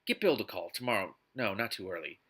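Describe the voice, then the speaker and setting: Curt, wealthy businessman and teasing lover, read by a man, forceful novel-reading voice. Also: fairly low pitch, animated, quick pace, husky, voice pushed down. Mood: businesslike.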